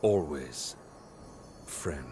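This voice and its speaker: An actor playing a noble, loyal, sorrowful knight in a video game, speaking formally and earnestly. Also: male voice, rumbling low, lively intonation, extremely slow, heavily acted. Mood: tender. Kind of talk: dramatic video game voice acting. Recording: loud music over the voice.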